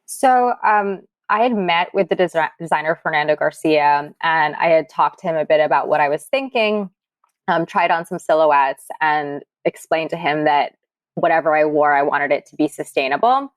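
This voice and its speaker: Low voiced woman being interviewed, explaining stuff, really dry audio. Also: normal speed, no echo. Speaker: guest, sustainable fashion advocate.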